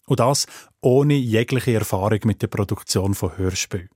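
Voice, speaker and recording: A man with a Swiss German accent narrating a scripted radio feature as its presenter, with a husky voice. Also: fairly low pitch, normal speed, a little mellow, dry recording, studio quality. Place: dry studio.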